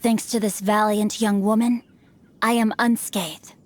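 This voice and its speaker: A woman's voice: medium pitch, fairly even intonation, measured pace, a little hiss or hum, close to an everyday voice. A woman voicing a witty, bookish young male heir in a game cutscene, speaking formally with dry, teasing wit.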